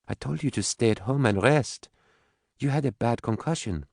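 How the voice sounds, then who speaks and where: Man voicing a firm, concerned mother, pitched up. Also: expressive, normal speed, vocal fry, no room sound, studio quality. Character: concerned, skeptical mother, a woman doctor.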